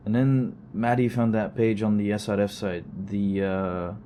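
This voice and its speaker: A man's voice: on the low side, flat delivery, measured pace, mellow, slight background noise, lightly acted. An actor playing a weary, wry male investigator who records a conversational log in an audio drama, with a dry, sardonic, rambling manner. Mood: musing, dry.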